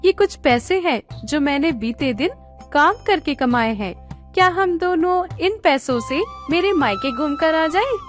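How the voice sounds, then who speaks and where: A woman playing a gentle, dutiful young village wife in a Hindi animated moral story. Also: sits high, a little slow, soft background music. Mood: meek.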